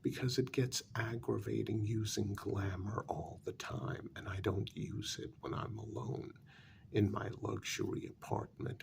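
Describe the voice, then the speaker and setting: A man playing a jaded, irritable vampire in a soft-spoken ASMR role-play, with weary sarcasm and a gravelly, half-whispered voice. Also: on the low side, exaggerated voice. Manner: slow, deliberate, weary sarcasm. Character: jaded, irritable male vampire hiding a hideous face.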